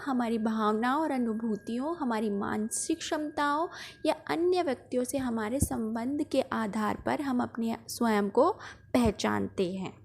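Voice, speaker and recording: High-voiced Indian woman teaching. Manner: didactic, step-by-step explaining. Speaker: home science teacher. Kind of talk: explanatory school lesson narration.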